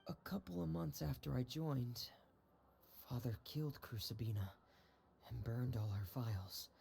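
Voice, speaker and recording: An actor playing a shy, earnest young man in a video game, speaking softly and solemnly. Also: medium pitch, creaky, a little hiss or hum, natural voice. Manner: soft, measured, solemn storytelling. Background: game soundtrack music.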